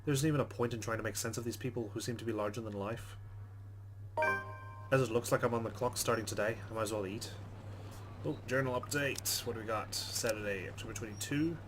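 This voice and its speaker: A man's voice: mid-range voice, brisk, over music. A man hosting a let's play, reading game narration aloud with casual commentary.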